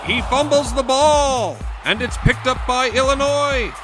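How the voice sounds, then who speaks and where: An actor playing an excited 1920s football play-by-play announcer, calling the game in shouts. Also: male voice, very high voice, unhurried. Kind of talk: old-time sports commentary. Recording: music behind the voice.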